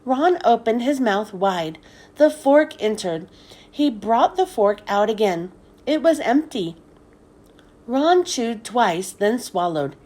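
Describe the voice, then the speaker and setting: A woman reading a children's story aloud as scripted narration, with expressive, deliberate delivery. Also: fairly high pitch. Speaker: children's book read-aloud host.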